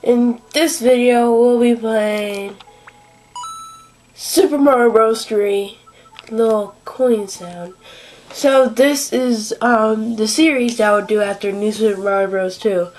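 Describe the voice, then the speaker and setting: A young woman giving casual, rambling commentary while playing a game at home, sniffly from allergies, with lots of fillers and quiet asides. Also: fairly high pitch, slow pace, poor audio. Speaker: gameplay commentator. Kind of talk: casual let's-play commentary. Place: home room at a desk.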